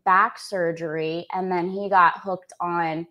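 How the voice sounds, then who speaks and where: A woman's voice: lowish voice, steady tone, slow, pushed and bright, highs cut off. A woman in her thirties from Canada, an executive coach speaking earnestly as a podcast guest, with lots of "like" fillers. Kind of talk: podcast interview.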